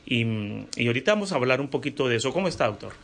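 A man's voice: medium pitch, expressive, very fast, a bit bright. A man hosting a podcast, introducing his guest and asking questions in an upbeat, encouraging way. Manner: upbeat, encouraging, short affirmations. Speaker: podcast host. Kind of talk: podcast interview.

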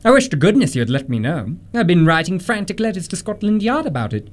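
An earnest, indignant young English gentleman from a Victorian radio comedy, played by a man. His speech is formal and emphatic, in a slightly high voice that rises and falls with great animation. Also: big pitch swings, fast talker. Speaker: amateur radio theatre actor. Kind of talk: radio play acting of a Victorian comedy of manners.

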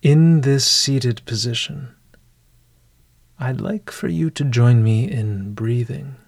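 A man narrating a scripted bedtime meditation as a podcast host, in a hushed, deliberate manner with long pauses. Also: mid-range voice, vocal fry, dry recording, clean studio sound.

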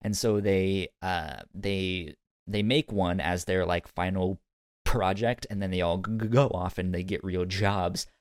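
A man co-hosting a podcast, chatting casually in a halting way and often repeating words as he goes. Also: low voice, steady tone, dry recording, studio quality. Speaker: podcast co-host. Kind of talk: casual podcast review chat.

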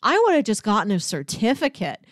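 A woman's voice: medium pitch, sing-song, fairly quick, no room sound, clean studio sound. A woman podcast co-host in casual film discussion, thinking aloud and talking through examples in a conversational way.

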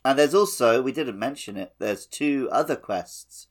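A man's voice: mid-range voice, expressive, measured pace, slightly rough. A man co-hosting a gaming podcast in a casual, playful, jokey way. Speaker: podcast co-host.

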